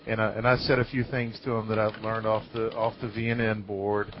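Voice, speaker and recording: A man talking casually on a live talk show, telling anecdotes in a halting way and often repeating words. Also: fairly low pitch, flat delivery. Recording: dull lo-fi audio with clear noise.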